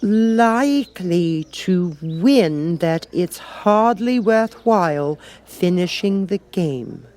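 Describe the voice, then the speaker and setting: A man's voice: high-pitched, expressive, drawn-out words, few pauses, darkish tone, pitched above the performer's own voice, heavily acted. A man voicing an earnest, polite little girl in a storybook, prim and plaintive with hesitant turns. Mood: emphatic.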